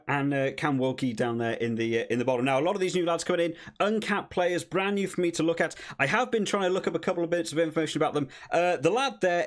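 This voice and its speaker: A man hosting his own rugby channel, talking through a team list in a casual, upbeat and chatty way. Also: mid-range voice. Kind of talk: casual sports commentary to camera.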